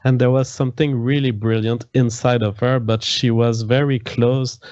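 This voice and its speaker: A man's voice: mid-range voice, little pitch movement, normal speed, darkish tone, no room sound, dull audio. A man from Quebec with a French Canadian accent and French as his first language, earnestly giving a personal account as a podcast guest.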